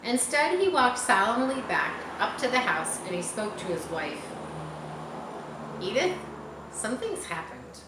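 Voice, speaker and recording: A woman reading a children's story aloud in an expressive narrating style. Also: medium pitch, moderate pace, hardly any pauses. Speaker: audiobook reader. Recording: echoey room, faint background noise.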